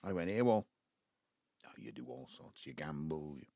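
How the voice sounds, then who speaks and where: An actor playing an embittered, wistful Welsh father in his seventies, with a South Wales Valleys accent and a halting, reflective delivery in an audio drama. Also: lively intonation, vocal fry, warm tone, no echo, exaggerated voice. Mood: regretful. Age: 70s. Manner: halting, reflective, breaking into low muttering. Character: embittered ageing Welsh patriarch, wistful and self-justifying. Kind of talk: naturalistic audio drama monologue.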